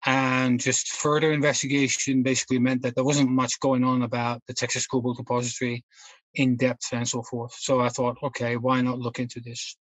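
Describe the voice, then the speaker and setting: A man speaks in a flat, even tone, with little rise and fall in his pitch. He rambles through his story with plenty of fillers and restarts. The recording is close and dry, with no room sound. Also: a little fast, dry recording, rough recording. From Netherlands. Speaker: guest researcher. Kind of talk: casual storytelling in a podcast interview.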